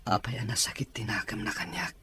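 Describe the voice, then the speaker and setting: A man playing a confused young husband with memory loss, talking in a soft breathy whisper. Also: fairly low pitch, a little fast, slight background noise. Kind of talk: amateur audio drama acting.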